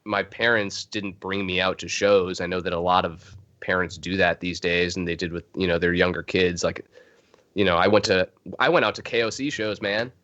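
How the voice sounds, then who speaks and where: A man's voice: low voice, fairly even intonation, moderate pace, fairly bright tone. A man with a Chicago accent, a drummer guest chatting casually in an interview, rambling with frequent chuckles. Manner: rambling, laid-back, chuckles often. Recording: muffled lo-fi video call audio.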